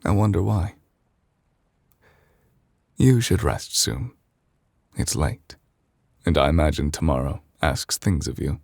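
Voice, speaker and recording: An ancient, immortal chief justice played by a man speaks in a very deep, husky voice for an ASMR roleplay. He is solemn and gentle, with formal, halting phrases that come very slowly, as if softly confiding. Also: lively intonation, dry recording, clean studio sound, heavily acted. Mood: grateful, gentle. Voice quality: gravelly, husky, breathy.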